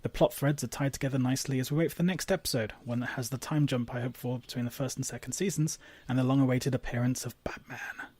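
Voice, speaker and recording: A man reading a scripted episode recap with sardonic, deadpan asides. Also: mid-range voice, fast talker, hardly any pauses, slightly rough, no echo.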